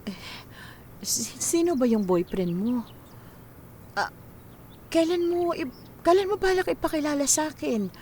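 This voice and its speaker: A woman's voice: high-pitched, animated, a little slow, long pauses, a little mellow, slight background noise. A woman playing a loving, weary middle-aged mother in a Filipino radio drama, with a tender, coaxing, sighing manner. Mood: probing.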